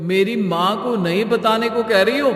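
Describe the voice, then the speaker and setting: A man's voice: high voice, moderate pace, a bit bright, soft background music. A man voicing an irritable young husband in a cartoon moral story, exasperated and defensive of his mother. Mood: irritated. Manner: exasperated, argumentative. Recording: roomy, long reverb.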